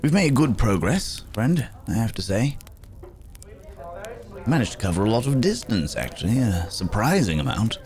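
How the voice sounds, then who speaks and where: A man's voice: fairly low pitch, very animated, darkish tone. A man playing a weary, philosophical werewolf on the run, musing hesitantly in an intimate ASMR roleplay. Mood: wry, relieved. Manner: musing, hesitant, speaking in unhurried broken phrases. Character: weary, philosophical male werewolf, a hunted wandering companion.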